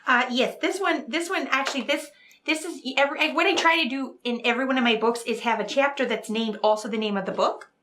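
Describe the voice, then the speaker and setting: Woman playing a high-voiced, flustered lady romance novelist. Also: fairly bright tone. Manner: flustered, halting, chatty, trailing off. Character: nervous, rambling, earnest female romance novelist who loves cats. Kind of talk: improvised comedy interview.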